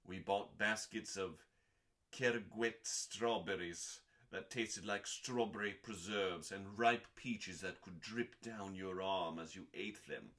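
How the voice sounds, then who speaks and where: A man hosting a dramatic scripted reading in a deliberate, theatrical manner with pauses and dry, deadpan delivery. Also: deep voice. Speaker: host.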